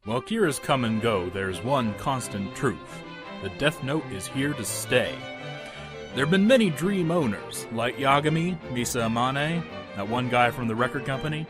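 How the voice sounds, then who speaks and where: Man as a smug, animated mock-documentary narrator. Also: mid-range voice, normal speed, close to an everyday voice. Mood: grandiose. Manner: grandiose, sardonic. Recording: music behind the voice.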